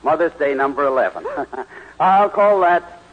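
Husky, flustered meek husband. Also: male voice, a little high, animated, a little slow, narrow, tinny audio. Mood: fretful. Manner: flustered, earnest, exasperated. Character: harried, meek suburban husband, greeting-card poet. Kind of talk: 1940s radio comedy acting.

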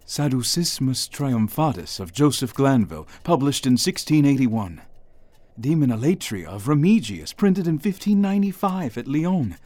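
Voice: mid-range voice, moderate pace, no room sound. An actor playing a curious, nervous man who is wondering at strange sights and growing uneasy in a radio drama. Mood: excited. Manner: excitable, wondering, increasingly uneasy. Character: nervous, curious young man exploring the past.

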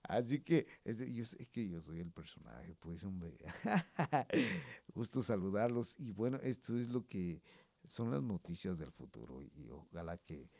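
A man's voice: low voice, expressive, measured pace, gravelly, a little mellow, dry recording. A man doing a slangy, rambling comic monologue, laughing often. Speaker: comedian and music presenter. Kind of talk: comic monologue with put-on voices.

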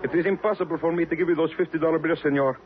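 A quick, anxious male cashier played by an actor, formal and servile in a raised pitch. The old radio sound is dull. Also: fairly even intonation, close to an everyday voice. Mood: apologetic, flustered. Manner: formal, hurried, apologetic. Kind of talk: 1950s radio drama acting.

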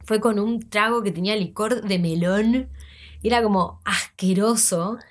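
A woman's voice: mid-range voice, moderate pace. A young woman from Argentina with an Argentine accent, co-hosting a podcast and joking through casual chat. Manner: joking, teasing. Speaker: podcast co-host.

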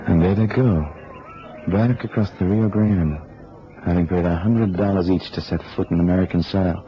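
An actor playing a restless, streetwise investigator in a radio drama, talking in a colloquial, muttering way and keen for action. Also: male voice, low-pitched, normal speed, close to an everyday voice. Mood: watchful, wry. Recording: muffled, noisy old radio recording.

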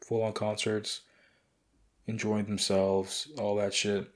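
A young man hosting his podcast, rambling and swearing in a casual late-night monologue with a raspy voice. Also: fairly low pitch, monotone, slow pace. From United States. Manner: profane, rambling, loose. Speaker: podcast host.

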